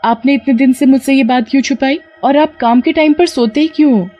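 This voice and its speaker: A woman voicing a caring village wife who speaks in a homely, concerned way in an animated story. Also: high voice, brisk, soft background music, pitched above the performer's own voice, lightly acted. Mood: chiding.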